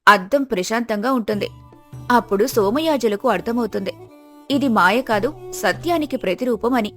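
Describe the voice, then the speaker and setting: Woman telling a moral story, highish voice, bit of music behind her. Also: moderate pace, a bit bright. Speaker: storyteller narrator. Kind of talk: scripted narration of a Telugu moral tale.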